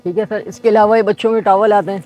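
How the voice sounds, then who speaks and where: Soft-spoken shopkeeper listing prices. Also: male voice, sits high, steady tone, fast, highs cut off. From Pakistan. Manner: patient, listing items one by one. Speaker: shop owner. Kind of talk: showing goods and quoting prices. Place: busy market shop indoors.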